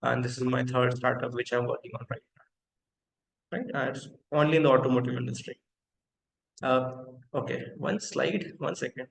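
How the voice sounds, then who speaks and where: A man in his thirties teaches a technical webinar over a computer mic. His voice has a gravelly edge and sits at a middle pitch. The room sounds echoey, with faint noise behind him. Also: steady tone, normal speed. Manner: interactive, asks the audience questions, many fillers. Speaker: webinar host and company founder. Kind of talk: live online technical lecture.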